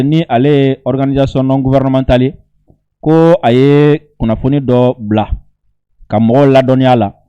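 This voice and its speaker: A man's voice: medium pitch, warm tone, lo-fi sound. A man with a West African accent, a native Bambara speaker, reading statements aloud and commenting on them informally in an emphatic, repetitive way. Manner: emphatic, repeats phrases for emphasis. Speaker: online video commentator.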